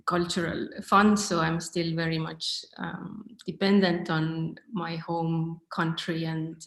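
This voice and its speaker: A woman with an Estonian accent, talking informally and thoughtfully about herself as a guest artist, with some hesitation as she finds her words. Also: fairly low pitch. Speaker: artist being interviewed. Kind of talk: informal online studio talk. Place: home studio, indoors. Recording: echoey, roomy laptop sound.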